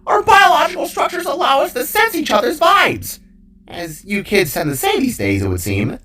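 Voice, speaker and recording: A man voicing a chatty, playful talking cube companion in an animated fantasy audio story, with an excitable, teasing manner. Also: extremely high pitch, moderate pace, distant mic, voice pushed up, exaggerated voice.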